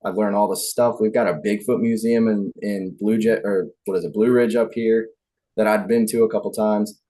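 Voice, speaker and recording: A man's voice: on the low side, steady tone, normal speed, warm tone. A man who is a podcast guest, chatting casually and poking fun at himself. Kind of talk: casual chat.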